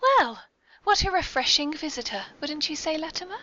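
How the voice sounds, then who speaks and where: A woman's voice: sits high, big pitch swings, normal speed, sharp tone, exaggerated voice. A woman with a British accent playing a genteel, mischievous lady mastermind, hosting a scripted comic podcast in a prim, arch, formal manner. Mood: arch, amused. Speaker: podcast host. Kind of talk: scripted comic audio drama. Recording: dull lo-fi podcast recording.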